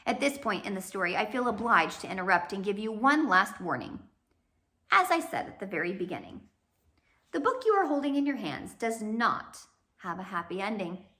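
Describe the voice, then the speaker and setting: A woman narrating a children's storybook aloud in an expressive, scripted reading style. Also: mid-range voice, moderate pace. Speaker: audiobook narrator.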